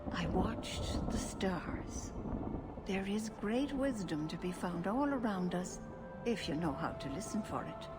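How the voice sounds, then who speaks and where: Woman acting as wise elven keeper, fantasy game, unhurried, gentle and grave, mid-pitched, music behind. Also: lively intonation, lightly acted. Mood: solemn. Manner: measured, gentle, cryptic pronouncements. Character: wise, solemn elven clan keeper, motherly mystic woman.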